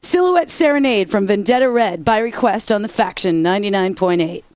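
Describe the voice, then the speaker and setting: A woman's voice: mid-range voice, animated, fairly quick, darkish tone, dry recording, close to an everyday voice. An actress playing a wry, upbeat alternative-rock radio DJ woman in a video game, introducing songs with sarcastic patter. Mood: dry, upbeat.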